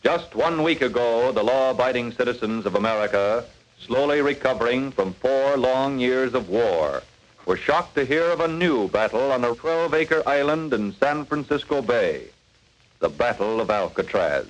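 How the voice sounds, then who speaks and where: A man's voice: medium pitch, expressive, moderate pace, lightly acted, low-quality recording. A man playing an earnest newsreel-style narrator in a 1940s radio crime drama, formal and dramatic. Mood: grave.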